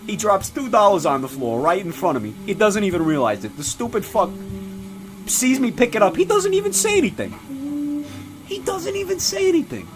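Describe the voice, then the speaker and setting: A man playing a crude, foul-mouthed old Italian-American mobster who belittles people and rambles with sudden loud outbursts. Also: high-pitched, big pitch swings, brisk, pitched above the performer's own voice. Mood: ranting. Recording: music playing clearly behind.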